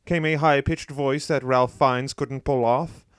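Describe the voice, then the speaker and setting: A man giving scripted narration for a parody audiobook in a deadpan manner, as the storyteller. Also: mid-range voice, a little slow, clean tone, dry recording, studio quality.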